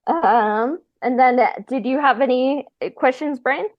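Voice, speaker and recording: A woman hosting a casual podcast chat, talking haltingly and often trailing off. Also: high voice, no room sound, highs cut off. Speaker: podcast host.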